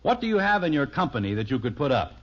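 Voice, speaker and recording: A man's voice: a little high, very animated, fast talker, fairly bright tone. A muffled old radio recording of a middle-aged American father in a family sitcom. His lightish voice swings freely as he patiently plays pompous banker to his children. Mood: mock-formal, patient. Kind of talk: 1950s radio sitcom acting.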